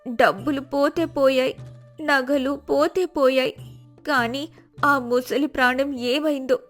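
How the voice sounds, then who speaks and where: A woman voicing a compassionate, forgiving village wife in an emotional moral story. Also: sits high.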